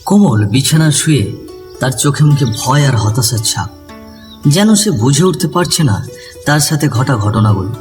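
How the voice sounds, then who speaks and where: A man's voice: mid-range voice, animated, normal speed, distant mic, over music. A man giving a scripted, dramatic narration of a ghost story.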